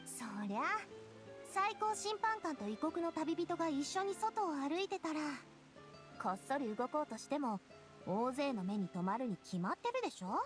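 A woman's voice: sits high, animated, moderate pace, over music. An actress playing a confident, breezy young lady in an anime-style game, casual, direct and knowing. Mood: knowing, teasing.

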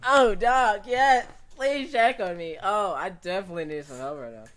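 A young adult man giving casual, jokey, slangy reaction commentary in an excitable way, often repeating himself. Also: high voice, moderate pace. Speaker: reaction video host. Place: small bedroom indoors. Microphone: desk microphone with pop filter.

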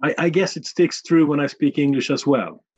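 A man from Sweden with a Stockholm accent, a non-native English speaker answering interview questions in a measured, plain-spoken way with small slips. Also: fairly high pitch, husky, dull audio. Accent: Stockholm Swedish. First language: Swedish. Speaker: guest, communications manager.

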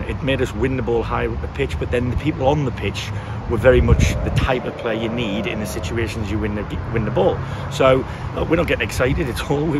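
A man in his fifties, a football manager, talking frankly after a match, often restarting his sentences as he thinks aloud, recorded outdoors beside a pitch. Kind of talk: post-match interview. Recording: loud wind and outdoor noise.

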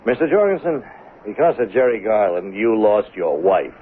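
A narrow, hissy 1970s radio recording carries a stern police lieutenant. His voice is on the low side, deliberate and accusatory, pressing his suspect relentlessly. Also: big pitch swings. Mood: accusing. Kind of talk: 1970s radio drama acting. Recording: tinny band-limited noisy 1970s radio recording.

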